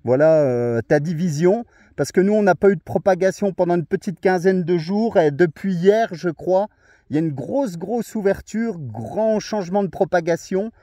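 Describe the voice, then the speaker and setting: A chatty man makes a portable ham radio contact from an outdoor summit, talking freely with many fillers. His voice sits high for a man. The sound is close and dry. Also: lively intonation, normal speed, dark tone, no room sound. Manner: chatty, friendly, frequent fillers. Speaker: radio amateur operating outdoors. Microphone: portable radio, filming a video.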